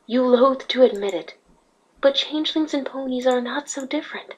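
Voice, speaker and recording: Casting: woman as serene royal mare, gentle and patient, breathy and fairly high. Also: moderate pace, low-quality recording. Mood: gentle, earnest. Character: calm, regal, gentle pony princess, female, peace-seeking. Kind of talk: fan fiction audio drama acting.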